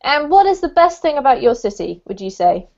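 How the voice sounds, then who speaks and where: A woman's voice: sits high, sing-song, brisk, creaky, a little mellow. A woman teaching an online English class, chatty and friendly, with frequent ums, over a video call. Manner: chatty, many ums, laughs often. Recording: dull lo-fi video call audio.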